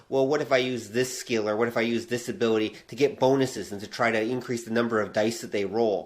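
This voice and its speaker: A man co-hosting a podcast, talking casually about game design in an explanatory, emphatic way and repeating his key points. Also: mid-range voice. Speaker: podcast co-host.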